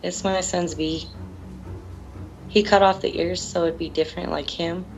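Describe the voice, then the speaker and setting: A woman's voice: lowish voice, steady tone, measured pace, vocal fry, faint music behind, rough recording. A woman giving a plain-spoken, sorrowful personal account in casual words. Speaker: bereaved mother.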